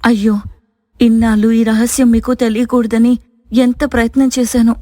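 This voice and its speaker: Actress as reserved young wife in a storybook family tale: earnest, fairly high, mellow, dry sound. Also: female voice, flat delivery, normal speed, dry recording, natural voice. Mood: confessing.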